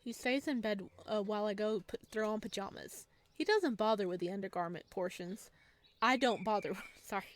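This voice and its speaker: A woman reading a visual novel aloud as a let's player, casual and expressive, with jokey commentary. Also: mid-range voice, moderate pace.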